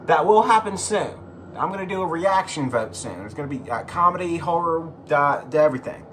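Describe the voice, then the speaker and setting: A man giving casual, rambling video commentary as a host, halting and repeating himself, with informal, sweary phrasing. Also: on the high side, animated, fairly bright tone, a little hiss or hum. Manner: profane, informal. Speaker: YouTube host.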